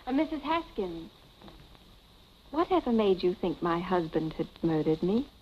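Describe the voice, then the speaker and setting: An actress playing a composed young wealthy wife in a 1940s film drama, wry and firm. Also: female voice, fairly low pitch, very animated, a little slow, slight background noise. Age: young adult. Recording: muffled 1940s film soundtrack, music behind.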